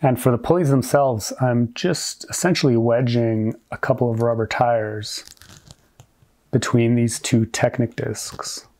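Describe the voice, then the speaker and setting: A man in his forties hosting a hobby video, giving a scripted, clearly structured explanation in an upbeat way. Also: medium pitch, fairly even intonation, a little slow, a little mellow. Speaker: host of a brick-building channel.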